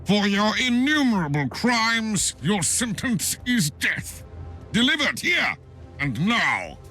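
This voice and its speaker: A stern, unyielding holy inquisitor from a game drama. His delivery is solemn and declamatory, slow and very animated in pitch, with faint music behind. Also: exaggerated voice. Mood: righteous fury. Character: stern male righteous zealot inquisitor, imperious and unyielding. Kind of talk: dramatic video game acting.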